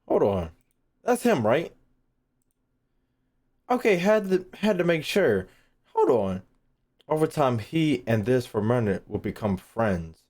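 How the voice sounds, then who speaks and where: A young man streams in a casual, slangy way. His voice is very animated, swinging widely in pitch as he rambles and thinks aloud in live commentary. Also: slow, long pauses. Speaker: streamer reacting to game lore.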